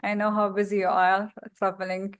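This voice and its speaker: A woman hosting a podcast interview, asking questions politely and in a measured way with some fillers. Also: mid-range voice, completely flat delivery, clean tone, dry recording. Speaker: podcast host.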